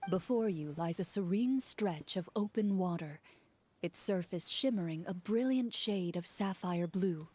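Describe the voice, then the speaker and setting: An actress voicing a composed, serious female duelist in a video game, speaking in a calm, measured way. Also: on the low side, slight background noise. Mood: narrating. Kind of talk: game dialogue and storybook narration.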